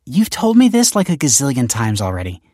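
A man's voice: mid-range voice, huge pitch swings, fairly quick, warm tone, no echo, studio quality, voice pushed up. A man voicing an exasperated young adult daughter in an audiobook, whiny and impatient.

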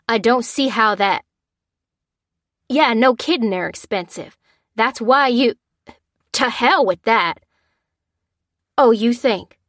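A woman voicing a gruff, angry father in an audiobook, growling and barking with sarcastic exasperation. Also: mid-range voice, fairly bright tone, no room sound, studio quality, close to an everyday voice. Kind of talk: audiobook character dialogue.

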